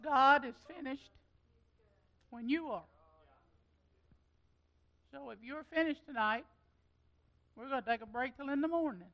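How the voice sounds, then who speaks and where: A woman's voice: high voice, a little slow, pauses often, dull audio. A woman giving chatty, folksy announcements as pastor at a church meeting. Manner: chatty and folksy, with loose trailing phrases.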